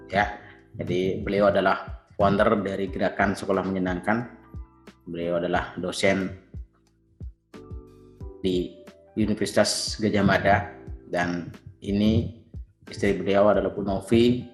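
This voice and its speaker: A man in his fifties, a teacher, earnestly presenting to fellow educators and clearing his throat often. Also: lowish voice, fairly bright tone, roomy sound. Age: 50s.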